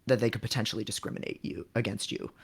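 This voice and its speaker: A man with a fairly low voice hosts a relaxed livestream. He gives frank advice conversationally, feeling his way through sentences as he goes. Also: quick pace, vocal fry, slightly rough. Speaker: livestream host giving job advice. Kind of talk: casual livestream chat, advising.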